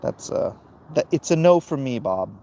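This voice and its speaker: A man with a gravelly voice hosting a casual, opinionated livestream, answering chat in a blunt, dismissive way and muttering between thoughts. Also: medium pitch, very animated, moderate pace, mellow. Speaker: livestream host reading and answering chat comments.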